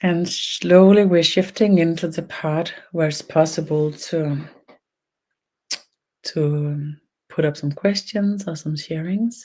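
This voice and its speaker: A speaker from Denmark with a Danish accent and a gravelly creak leads a guided energy meditation online, speaking haltingly in short phrases with long pauses. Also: medium pitch, steady tone, dark tone. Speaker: spiritual energy healer leading an online session. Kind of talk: slow guided meditation and channeled talk.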